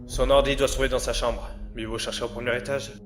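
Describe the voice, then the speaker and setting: A man's voice: mid-range voice, flat delivery, fast talker, lightly acted. A man playing an anxious, guilty amateur intruder in a French audio drama, muttering to himself and stammering when threatened. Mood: tense, resolute. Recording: music behind the voice.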